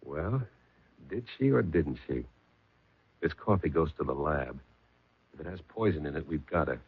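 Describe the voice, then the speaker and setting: A man playing a calm, dogged police detective in a 1970s radio drama, talking mildly and hesitantly with many pauses. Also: very deep voice, husky, full character voice. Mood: grim. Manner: mild, hesitant, with many uh's. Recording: phone-like old radio broadcast.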